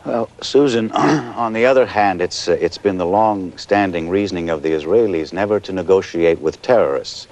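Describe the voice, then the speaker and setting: An actor playing a measured, hesitant male news anchor covering a crisis live in a 1980s TV drama. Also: deep voice, darkish tone, lightly acted. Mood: sober. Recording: hissy 1980s TV broadcast audio.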